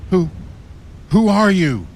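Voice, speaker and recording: An actor playing a level-headed, skeptical friend in an amateur radio horror drama. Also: male voice, high-pitched, big pitch swings, takes it slowly, a little mellow, a little hiss or hum, full character voice. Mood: creeping dread.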